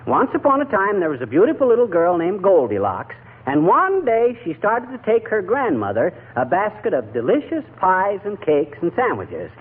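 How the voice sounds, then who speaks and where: A man's voice: high voice, wildly swooping pitch, moderate pace, few pauses, breathy edge, exaggerated voice. An actor playing a blustery, flustered but good-hearted everyman husband in 1940s radio comedy, folksy and given to stammering. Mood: kindly fussing. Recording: muffled, noisy 1940s radio recording.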